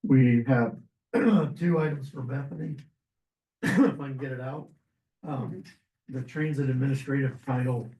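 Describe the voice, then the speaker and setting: Hoarse male administrator reading agenda items, businesslike, mid-pitched, recorded far off in a roomy hall. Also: unhurried, long pauses, mellow. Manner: plain, businesslike, with fillers and throat-clearing. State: losing his voice. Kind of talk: public meeting presentation. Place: county meeting room. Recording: echoey meeting room, distant mic.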